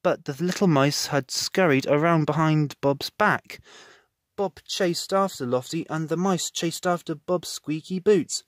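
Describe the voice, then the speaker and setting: A man narrating a children's storybook in an expressive reading style. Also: a little high, measured pace, dry recording, clean studio sound.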